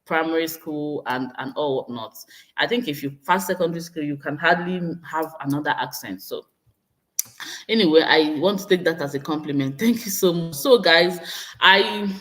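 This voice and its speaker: A woman's voice: sits low, fairly bright tone. Chatty Nigerian woman vlogger. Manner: chatty, laughing often, repeating exclamations. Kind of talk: casual chat reading viewer comments.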